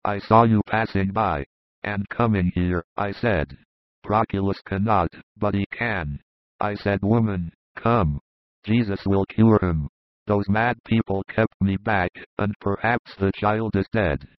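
Guy voicing a gruff roman soldier, lower and pushy, blunt insults, dull dry sound. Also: a little slow, no room sound, lightly acted. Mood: urgent. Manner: harsh, insulting, urgent. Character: rough angry Roman soldier, blunt and desperate.

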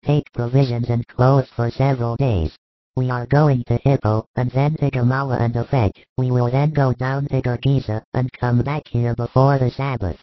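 Man voicing a measured, commanding religious master, dry sound. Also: medium pitch, steady tone, no room sound, highs cut off, close to an everyday voice. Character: calm authoritative male religious master instructing followers.

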